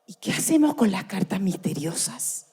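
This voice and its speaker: A woman's voice: medium pitch, big pitch swings, moderate pace, warm tone, breathy edge, full character voice. A Chilean actress playing a stubborn but affectionate young schoolgirl sister in a theatrical, emotional dramatized reading. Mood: tender. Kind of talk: dramatized stage reading, radio play.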